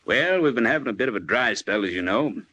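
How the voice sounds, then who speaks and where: An actor playing a folksy, evasive small-town newspaper editor in a 1940s-style radio drama. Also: male voice, medium pitch, lively intonation, quick pace, bright, forceful tone, dull audio. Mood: confiding. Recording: noisy old-time radio recording.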